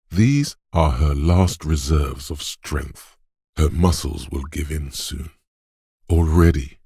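An actor playing the gruff, domineering inner voice of physical strength in a video game, raspy and deliberate, narrating like a tough coach. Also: male voice, a little mellow, no echo, studio quality, full character voice. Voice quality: very deep, gravelly, raspy. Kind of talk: scripted game narration, dramatic second-person.

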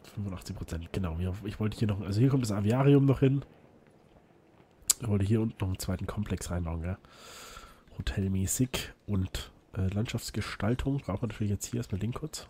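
A man who is a bit hoarse from illness, chatting casually and rambling as he hosts a let's play, with lots of fillers and restarts. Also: fairly low pitch, fairly quick, mellow, slight background noise. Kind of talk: casual gameplay commentary.

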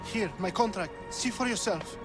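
Man playing a high-voiced, cowardly, pleading artist. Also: normal speed, music in the background, lightly acted. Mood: frightened pleading. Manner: pleading, fearful. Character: cowardly, pleading poor male graffiti artist. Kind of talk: video game cutscene acting.

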